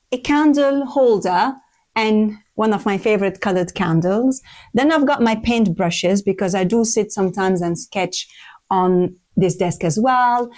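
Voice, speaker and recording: A woman's voice: medium pitch, darkish tone. Middle-aged woman presenting, English. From Lebanon and Iraq. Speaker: interior designer, presenter. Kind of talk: informal home tour presentation.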